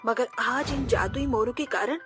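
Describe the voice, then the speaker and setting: A woman voicing a sensible, protective fifteen-year-old boy in a cartoon, speaking calmly and reassuringly. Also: on the high side, moderate pace, music in the background, lightly acted. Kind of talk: cartoon voice acting.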